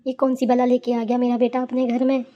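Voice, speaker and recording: A woman's voice: fairly high pitch, monotone, fairly quick, dull audio, close to an everyday voice. A woman playing an aggrieved older village mother-in-law in a cartoon, plaintive and grumbling. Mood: exasperated. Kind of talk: cartoon character dialogue.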